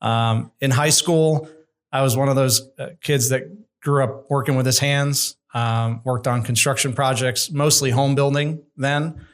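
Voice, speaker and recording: A middle-aged man, a construction executive, speaking in a measured, candid and thoughtful way as a guest in an interview. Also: mid-range voice, steady tone. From United States. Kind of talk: conversational interview.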